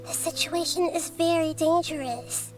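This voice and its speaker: An actress voicing a wise, young-sounding female god who speaks in a gentle, measured, reasoning way, in game audio with music behind. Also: very high voice. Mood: calm.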